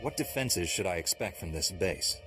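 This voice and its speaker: Deep-voiced, grim Jedi Knight. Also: male voice, expressive, normal speed. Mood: grim, determined. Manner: terse, blunt. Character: grim, steely male Jedi Knight, ruthless young hero. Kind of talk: video game voice acting. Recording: music under the voice.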